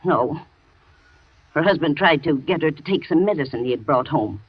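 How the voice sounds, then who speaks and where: An actress playing a loyal housekeeper in a 1940s radio thriller, talking with a nervous, shaky manner on a dull old broadcast. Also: female voice, deep voice, lively intonation, moderate pace, husky, dry recording. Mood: shaken. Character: frightened loyal housekeeper woman, middle-aged. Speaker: housekeeper and nurse.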